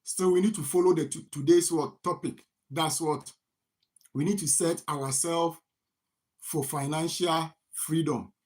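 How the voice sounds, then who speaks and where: A man's voice: a little high, steady tone, a little slow, darkish tone. A man from Ghana who hosts a farming channel. He gives a motivational talk on finance, repeats phrases, and asks rhetorical "what?" questions that he then answers himself.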